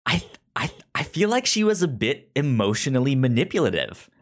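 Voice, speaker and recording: A man's voice: medium pitch, big pitch swings, a little fast. A man co-hosting a film review podcast over a video call, talking casually with many stammered restarts. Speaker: podcast co-host. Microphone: remote video call.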